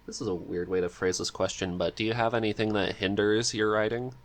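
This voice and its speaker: A man's voice: on the low side, steady tone, fairly quick. A man hosting a casual interview chat as the interviewer. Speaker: podcast host, interviewer.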